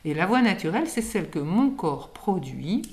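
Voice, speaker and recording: A woman's voice: lowish voice, big pitch swings, normal speed, a crowd in the background. A woman from France, a singing teacher and author, explaining patiently in an interview with everyday examples and light laughs.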